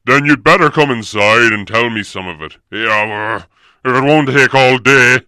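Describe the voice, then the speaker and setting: A man voicing a huge, wary bear man with a gruff, growling, blunt and forceful delivery in an audiobook. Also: medium pitch, normal speed, no echo, close to an everyday voice. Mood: curious, blunt. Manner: blunt, forceful, laughing to himself.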